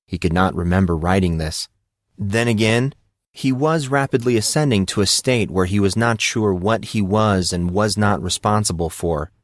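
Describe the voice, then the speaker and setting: A man's voice: fairly low pitch, dry recording, studio quality. A man narrating a scripted story as an audiobook narrator.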